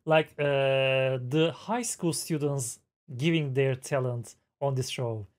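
A man in his thirties with a Turkish accent, hosting a reaction video with halting, admiring remarks and fillers, speaking into a headset mic in a small room at home. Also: mid-range voice. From Turkey. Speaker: reaction channel host. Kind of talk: casual reaction commentary. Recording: loud music from the video underneath.

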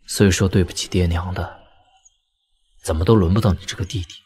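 An actor playing a weary, tender young lord and elder brother in an audio drama, speaking in a measured, reflective way. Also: male voice, low-pitched, steady tone, a little mellow, a little hiss or hum, lightly acted. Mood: tender, rueful. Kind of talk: audio drama dialogue in Mandarin wuxia serial.

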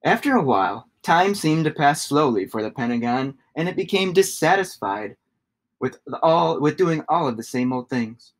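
A man, giving an expressive read-aloud of a children's story. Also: a little high, moderate pace. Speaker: storybook reader.

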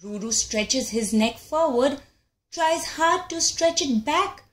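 A woman with a high voice reads a children's picture book aloud. She takes her time and is very expressive as a storyteller. The sound is roomy and echoey. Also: takes it slowly. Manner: expressive, acts out animal calls and sounds. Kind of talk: animated read-aloud for young children.

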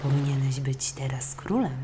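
A woman voicing a calm, regal, magical golden fish in a children's storybook. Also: very deep voice, moderate pace, background noise. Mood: reassuring.

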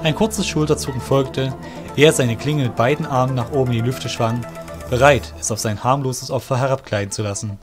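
Male storyteller over clear music. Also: mid-range voice, fairly even intonation, fairly quick. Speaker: narrator. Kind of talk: scripted fantasy audio drama narration.

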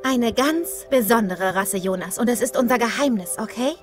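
An actress playing a kind, determined young horse rider in a children's cartoon, gentle and encouraging. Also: female voice, on the high side, normal speed, soft background music, lightly acted. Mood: pleased, secretive.

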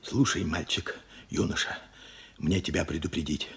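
An actor in a radio play playing a weary, regretful old war veteran, hoarse and urgent in a halting delivery. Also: male voice, low-pitched, fairly bright tone, full character voice. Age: older. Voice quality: hoarse, husky, cracked. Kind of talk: Soviet radio play acting.

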